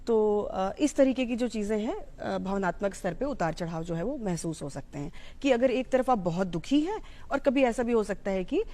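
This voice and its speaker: Mellow-voiced Indian woman explaining. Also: mid-range voice, animated, moderate pace, few pauses. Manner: explanatory, code-mixing Hindi with English terms. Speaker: psychology educator. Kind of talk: informal explanatory talk on mental health.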